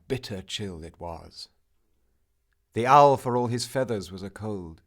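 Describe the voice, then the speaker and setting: A man reciting a narrative poem in a solemn, theatrical manner, with measured, drawn-out phrases. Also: low voice. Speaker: poetry reader.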